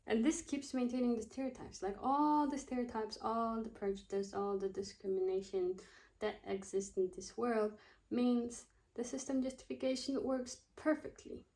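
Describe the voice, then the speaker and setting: A woman's voice: on the high side, steady tone, normal speed, mellow. A woman in her twenties, a student vlogger, rambling casually and thinking aloud, often losing her train of thought. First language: not Russian. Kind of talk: casual chat.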